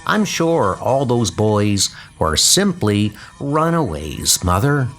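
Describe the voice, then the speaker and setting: A man's voice: on the low side, big pitch swings, takes it slowly, a bit bright, voice pushed down, exaggerated voice. A man voicing an earnest, polite schoolboy insect collector in a comic book dub, speaking precisely. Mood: defensive.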